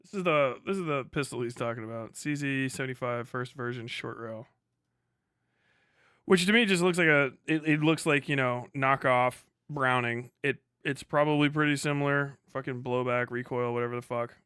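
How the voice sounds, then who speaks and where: Guy streaming, bright punchy voice, sarcastic, swears constantly, really dry close sound. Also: mid-range voice, normal speed, clean tone, dry recording. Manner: profane, blunt, sarcastic. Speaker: livestream host. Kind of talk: casual livestream commentary.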